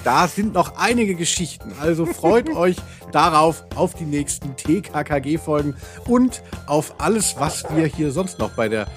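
A man hosting a podcast in casual chat, rambling in a halting, wry way. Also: a little high, expressive, soft background music. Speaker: podcast host.

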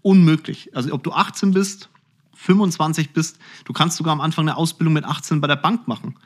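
A man's voice: on the high side, fairly even intonation, brisk. A man answering interview questions on a podcast in a blunt, direct and colloquial way. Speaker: podcast host being interviewed, finance executive.

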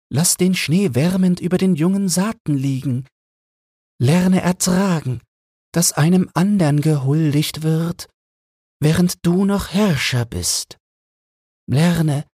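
A gentle Christmas angel voiced by a man in a storybook. The tone is lifted high and breathy, with earnest, slow delivery and swooping melody. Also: no room sound, studio quality, full character voice. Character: gentle, solemn Christmas angel counselling the old ruler.

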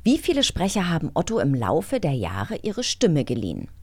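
A woman's voice: low voice, huge pitch swings, moderate pace, a little mellow, dry recording, clean studio sound. A woman co-hosting a podcast, asking questions in a casual, chatty style. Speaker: podcast co-host. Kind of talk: casual chat, quiz-show questioning.

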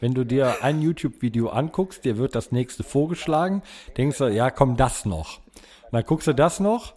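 German man hosting, medium-pitched voice. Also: animated, moderate pace, dark tone. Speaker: podcast host. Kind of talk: casual podcast moderation. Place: quiet room at home, on a remote video call.

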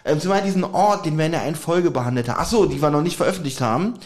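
A man's voice: a little high, expressive, rapid-fire. A man co-hosting a podcast in casual chat, teasing his partner and often laughing as he talks. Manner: teasing, joking, laughs often while talking. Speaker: podcast co-host.

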